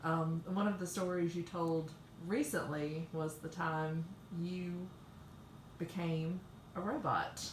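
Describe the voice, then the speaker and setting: A woman's voice: fairly low pitch, steady tone, takes it slowly. A woman hosting a casual chat, prompting a storyteller with questions and short interjections. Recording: roomy echo with background noise.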